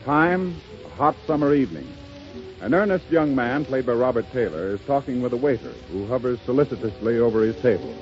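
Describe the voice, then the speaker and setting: A man narrates a 1930s radio drama as its announcer. His delivery is scripted scene-setting. The old broadcast sounds muffled, with music clearly behind him. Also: mid-range voice, animated, a little fast.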